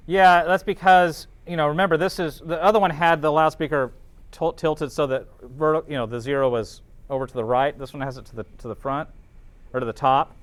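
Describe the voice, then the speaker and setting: A man's voice: a little high, steady tone, fairly quick. A man teaching an informal class, thinking aloud as they work through calculations and checking in with students.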